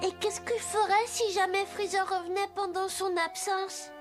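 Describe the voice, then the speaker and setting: An actress playing an earnest, anxious young child in a French anime dub. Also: female voice, very high voice, little pitch movement, normal speed, over music. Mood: worried.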